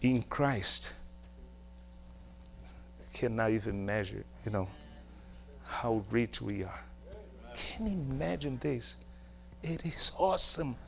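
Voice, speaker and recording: A middle-aged man from Brazil, a native Portuguese speaker with a Brazilian accent, preaching emphatically in short phrases and rhetorical questions. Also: mid-range voice. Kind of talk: sermon.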